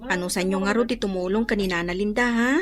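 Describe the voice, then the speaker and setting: An actress playing an affectionate, gently reluctant young female student in a radio drama. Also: medium pitch, fast talker, natural voice. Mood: friendly. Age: young adult. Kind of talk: Ilocano radio drama acting.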